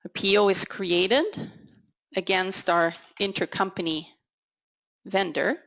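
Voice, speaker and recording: A woman giving an instructional software walkthrough as a consultant, in a measured, explanatory way. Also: mid-range voice, steady tone, creaky. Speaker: technical consultant presenting a software demo. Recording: dull, lo-fi audio. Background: voice-over for a screen recording.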